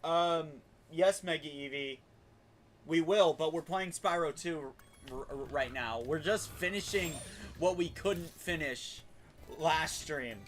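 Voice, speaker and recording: Higher-voiced man hosting a stream, halting delivery. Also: a bit bright, slight background noise. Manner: halting, stumbling and repeating words. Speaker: game streamer, host. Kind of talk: casual livestream chat. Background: faint game music.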